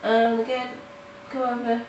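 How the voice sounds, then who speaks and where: A woman with a nasal voice from hay fever, talking halting and casual as she walks through a coloring tutorial at home. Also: fairly high pitch, completely flat delivery, a little mellow, highs cut off. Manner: halting, with restarts and fillers. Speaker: art tutorial host. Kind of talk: casual step-by-step tutorial narration. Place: home in the countryside. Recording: roomy, mic at a distance, faint noise.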